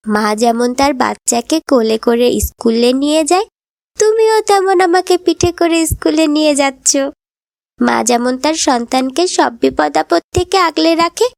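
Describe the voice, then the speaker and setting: Very high-pitched plaintive little girl. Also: vocal fry, dry recording, clean studio sound. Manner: plaintive, pleading. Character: devoted, distressed little motherless girl. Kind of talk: melodramatic cartoon voice acting.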